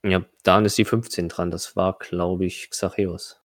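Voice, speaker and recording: Low-voiced man as game master, dry sound. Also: level tone, a little slow, no echo. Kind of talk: improvised tabletop game narration and rules talk.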